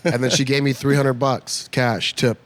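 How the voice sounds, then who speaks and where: A man in his fifties from California, guesting in a comedy club bar. He tells lively anecdotes at a mid-range pitch and slips into the voices of the people he quotes. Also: moderate pace. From United States (California). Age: 50s. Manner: animated storyteller who acts out quoted voices. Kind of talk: casual interview chat.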